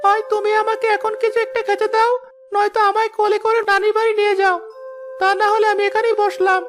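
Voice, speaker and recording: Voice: very high-pitched, little pitch movement, fast, a bit bright. A performer voicing a hungry, whining little boy who pleads insistently in a cartoon story.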